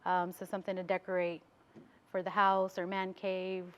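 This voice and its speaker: A woman's voice: fairly low pitch, monotone, moderate pace, clear, resonant tone. An American woman being interviewed as a business owner in a TV studio, explaining things in a casual way.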